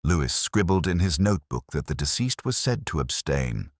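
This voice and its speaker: Male actor as brooding inspector narrating a detective drama: extremely low voice, expressive intonation, measured delivery. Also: no room sound, studio quality, exaggerated voice. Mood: quietly suspicious. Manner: measured, literary, reflective. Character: brooding male police inspector recounting a murder case. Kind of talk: first-person scripted detective story narration.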